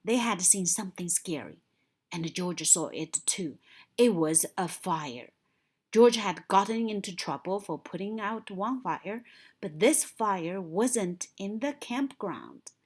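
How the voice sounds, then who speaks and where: A woman reading a picture book aloud to young children in an expressive storytelling style, with dramatic emphasis on key moments. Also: fairly low pitch, slow pace, husky.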